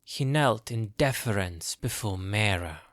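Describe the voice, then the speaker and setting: A man's voice: fairly low pitch, animated, a little slow, fairly bright tone, dry recording. Close, dry male fantasy narrator.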